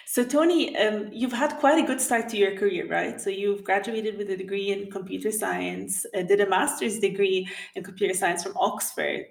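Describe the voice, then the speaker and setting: A woman's voice: medium pitch, vocal fry, echoey room. Upbeat woman hosting a podcast. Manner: upbeat, scripted intro then conversational questions. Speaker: podcast host. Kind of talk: interview podcast.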